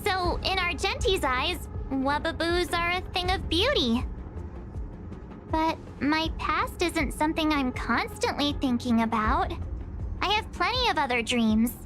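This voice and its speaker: A plucky young girl adventurer in a video game, extremely high-pitched. She sounds youthful, curious and casual. Faint music sits behind her voice. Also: animated, measured pace, a bit bright. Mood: pensive, protesting.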